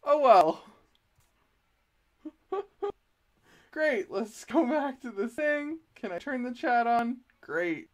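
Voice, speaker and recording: Woman, artist, live stream from her studio, unhurried, fairly high voice, laughs at herself. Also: lively intonation. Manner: self-deprecating, giggly, fumbling with the tech. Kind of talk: casual live stream chat. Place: art studio. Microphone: laptop, DSLR and chest-mounted webcam.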